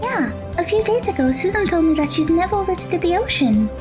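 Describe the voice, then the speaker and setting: A woman playing a sweet, earnest, naive young girl in an audio drama, speaking gently. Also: extremely high pitch, big pitch swings, brisk, music in the background. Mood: curious.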